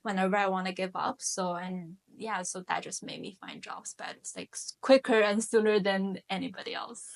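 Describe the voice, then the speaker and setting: A woman's voice: medium pitch, fairly even intonation, normal speed, creaky, hardly any pauses. A young woman in her twenties, from China with Chinese as her first language, talking earnestly as an interview guest, with many fillers and restarts. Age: 20s. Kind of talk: casual interview conversation.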